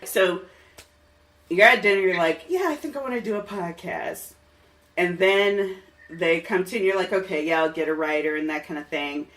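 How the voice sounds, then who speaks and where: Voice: medium pitch, moderate pace, fairly bright tone, recorded from a distance. An enthusiastic podcast host chats casually while interviewing a guest. The voice sits a little away from the mic, with music faintly underneath. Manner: enthusiastic, chatty.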